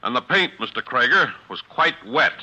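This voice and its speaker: An actor playing a courteous, shrewd male judge with a formal, measured delivery in 1940s radio style. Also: mid-range voice, lively intonation, bright, forceful tone. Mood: pointed, knowing. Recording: dull vintage 1940s radio recording.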